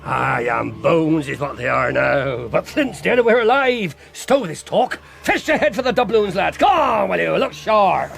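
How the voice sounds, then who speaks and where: Crafty old pirate boss, gravelly, voice swoops around a lot, radio play. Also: male voice, high-pitched, lively intonation, unhurried, few pauses, fairly bright tone, heavily acted. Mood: defiant, commanding. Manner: rolling rustic pirate speech, wheedling then commanding. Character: cunning, cajoling one-legged pirate ringleader, older man. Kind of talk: radio drama acting.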